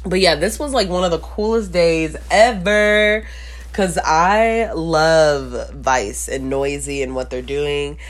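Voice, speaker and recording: A woman's voice: low-pitched, slow pace. A woman in her thirties, an event curator who is a guest, chatting casually and correcting others in a teasing way. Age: 30s. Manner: teasing, corrects others, calls them babe. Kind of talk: casual interview chat.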